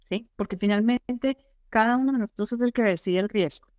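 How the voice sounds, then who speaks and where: A woman's voice: on the high side, fairly quick, a little mellow, no room sound, studio quality. A middle-aged woman, an immigration consultant co-hosting a talk show, explaining her points emphatically and often asking rhetorical questions. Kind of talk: advisory talk show chat.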